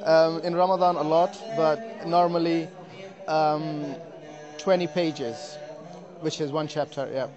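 A man who is a competition entrant, answering interview questions earnestly and hesitantly, with many pauses and repeated words. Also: fairly high pitch, steady tone, dull audio, over music. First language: not Arabic. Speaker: competition participant. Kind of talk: vox-pop interview answers. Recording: chattering crowd behind.

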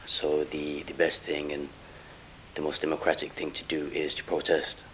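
A young English man giving an earnest documentary interview, often pausing with ums as he recounts events. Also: extremely low pitch, monotone, a bit bright, slight background noise, low-quality recording. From England. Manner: earnest, pauses with frequent ums. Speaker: interviewee, former protester. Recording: music bed underneath.

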